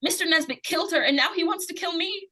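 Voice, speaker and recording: A woman playing an earnest, excitable young daughter in an old-time radio suspense drama. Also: extremely high pitch, quick pace. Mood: frantic, pleading.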